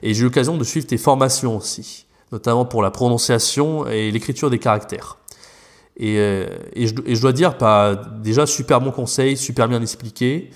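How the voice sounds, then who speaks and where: A man's voice: medium pitch, moderate pace. A French man, a language teacher, talking casually as a podcast guest, rambling with many fillers and restarts.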